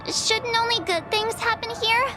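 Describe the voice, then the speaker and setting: A woman's voice: very high voice, steady tone, moderate pace, over music. An actress playing a naive, earnest, troubled young girl in a video game, speaking hesitantly and wistfully. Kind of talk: scripted video game dialogue.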